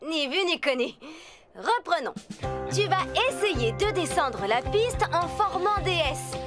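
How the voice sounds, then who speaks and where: A woman's voice: very high voice, big pitch swings, a little slow, heavily acted. A woman playing a peppy, confident young builder and coach in a French-dubbed children's cartoon, with encouraging instruction. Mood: teasing, coaching. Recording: music bed behind.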